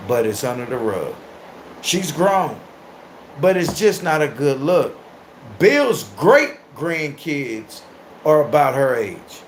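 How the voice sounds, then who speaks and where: A man in his thirties hosting a casual livestream and reacting to viewer comments. He is loud, animated and crude, and laughs often. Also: fairly high pitch, slow, a little hiss or hum. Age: 30s. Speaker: livestream host.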